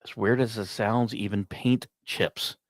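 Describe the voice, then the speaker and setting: A man's voice: lowish voice, fairly even intonation, measured pace, no room sound, studio quality. A man hosting a podcast, reading scripted science commentary with emphatic, dramatic phrasing and informal asides. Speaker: podcast host.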